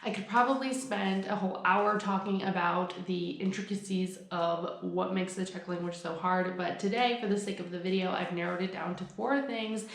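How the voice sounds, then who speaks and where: A woman's voice: on the low side, fairly even intonation, normal speed, few pauses. A young woman talking casually to camera in an upbeat, chatty way, explaining things with examples. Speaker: vlogger. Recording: echoey room.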